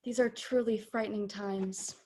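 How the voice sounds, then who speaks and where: A woman's voice: medium pitch, fairly even intonation, moderate pace, darkish tone, slightly rough, close to an everyday voice. A young woman in her late teens playing an earnest, formal radio news reporter in a comic radio play. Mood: serious. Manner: formal, dramatic announcing. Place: home room, on a video call.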